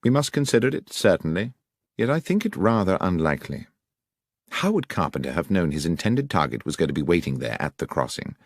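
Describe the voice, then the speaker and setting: A man reads a keen gentleman detective, his voice lifted a little higher and crisp, probing with dry irony. Lively and exact in a close, dry recording. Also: fairly quick, dry recording, exaggerated voice. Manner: crisp, probing, dryly ironic. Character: sharp, precise male detective, middle-aged.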